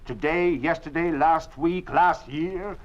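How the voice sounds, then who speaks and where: An actor playing an anxious middle-aged father in a 1950s radio drama, earnest and halting, often repeating words. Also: on the high side. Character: worried middle-aged family father under a regime. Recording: dull old 1950s radio recording.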